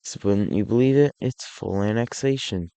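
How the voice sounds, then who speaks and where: A raspy, unwell man narrating a map. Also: fairly low pitch, normal speed, dark tone, dry recording. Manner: rambling, with frequent restarts and self-corrections. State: unwell, a bit hoarse. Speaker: alternate-history map series creator.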